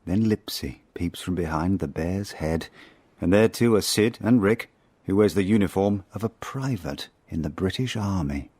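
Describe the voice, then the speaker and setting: Low, crisp, ironic English spy. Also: male voice, mellow. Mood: wry. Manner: ironic, deliberate, literary. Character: wry, haunted English spy narrating his own boyhood. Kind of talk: radio drama dramatised narration.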